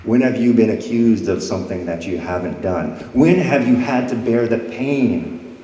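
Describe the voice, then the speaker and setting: A man's voice: fairly low pitch, expressive, normal speed, dark tone. Man preaching in an echoey hall. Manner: dramatic reading of scripture, rising and dropping his voice. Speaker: pastor. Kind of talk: sermon. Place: church sanctuary before a congregation. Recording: echoey hall with long reverb.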